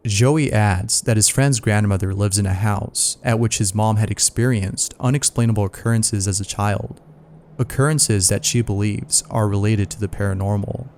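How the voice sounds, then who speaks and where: A man's voice: fairly low pitch, normal speed, a little mellow, a little hiss or hum. A man narrating scary mystery stories from a script, as the narrator of a video. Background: music.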